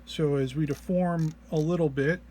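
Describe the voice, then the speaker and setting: A man giving an informal technical walk-through as a presenter, sounding low-energy and under the weather, with a hesitant, halting delivery. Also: fairly even intonation, dark tone. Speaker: presenter demonstrating an audio effects patch. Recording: clear background noise, single mono microphone.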